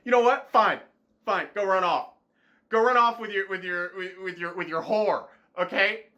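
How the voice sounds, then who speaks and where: A man acts out a spurned streaming platform as a petty, needy character. He is sarcastic and whiny, easily outraged, with very animated pitch. It plays as improvised online sketch comedy. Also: fairly quick. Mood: hurt, outraged.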